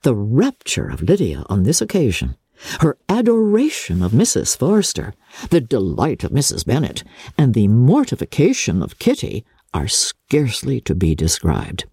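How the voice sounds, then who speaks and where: Expressive, low-toned woman narrating, very animated. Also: slightly rough, dry recording, clean studio sound. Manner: theatrical, measured, expressive. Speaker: audiobook narrator. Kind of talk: dramatic scripted literary narration.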